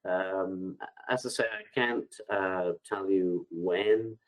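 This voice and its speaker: A man with a bad cold and a congested voice, answering webinar questions in a relaxed, hesitant way, reading each question aloud before replying, over a headset on a video call. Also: deep voice, slow, rough recording. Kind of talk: live webinar Q&A, unscripted.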